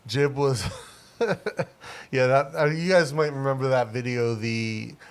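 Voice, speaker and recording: A man in his forties co-hosting a casual livestream chat, relaxed and full of "like" fillers, laughing often. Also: medium pitch, clean tone. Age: 40s. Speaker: livestream co-host.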